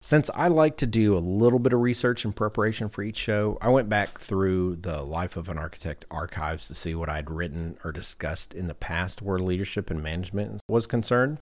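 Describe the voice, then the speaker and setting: A man's voice: low voice, normal speed, hardly any pauses. A man hosting a podcast in casual conversation, telling anecdotes in a self-deprecating way. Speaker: podcast host.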